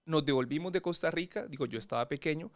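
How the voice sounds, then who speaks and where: A Colombian man, a lawyer being interviewed, talking in a relaxed, thoughtful and measured way with a Colombian accent. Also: a little high. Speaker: guest lawyer. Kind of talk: conversational interview.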